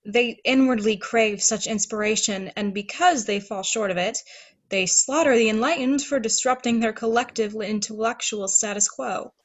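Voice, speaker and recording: A woman plays an assured female saint with a fairly high voice. She declaims in a lofty, formal way, reading lines from a stage play. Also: moderate pace, lightly acted. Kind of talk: virtual table read of a play.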